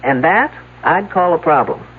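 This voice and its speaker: Wry, animated woman detective. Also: sits low, moderate pace, slightly rough, heavily acted. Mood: brisk, probing. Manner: dry, sarcastic, questioning. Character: wry, skeptical woman detective, brisk and persistent. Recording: noisy, narrow phone-like old broadcast audio.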